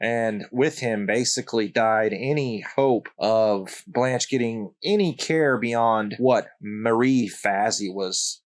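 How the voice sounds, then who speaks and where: A man hosting his own channel, talking through a true story in a relaxed conversational way with wry asides to the viewer. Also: on the low side, slow, few pauses. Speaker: channel host. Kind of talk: casual true-story narration.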